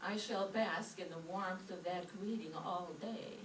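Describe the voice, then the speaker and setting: A woman's voice: on the low side, steady tone, a little slow, lightly acted. A woman playing a haughty, catty teacher with affected, condescending politeness in an old-time radio comedy, recorded from a distance in a roomy space. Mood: icily polite. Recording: distant roomy stage pickup, faint noise.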